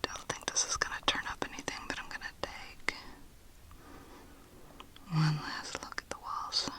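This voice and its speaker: A woman performing an ASMR role play in a breathy whisper, murmuring softly in short pieces with pauses.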